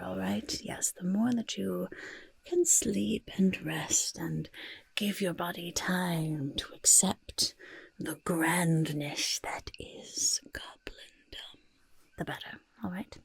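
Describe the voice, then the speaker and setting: A woman voicing a boastful, evasive goblin potion-maker in soft-spoken, breathy ASMR roleplay, fussing over the listener and hesitantly backpedalling. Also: fairly low pitch, no room sound, exaggerated voice. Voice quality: breathy, husky near-whisper. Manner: refers to self in third person, hesitant backpedalling.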